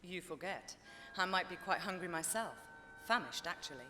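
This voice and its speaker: A deadpan, cool adventurer. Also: female voice, on the low side, moderate pace. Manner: dry, deadpan, clipped. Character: cool, sardonic female adventurer, poised and in command. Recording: game music under the voice.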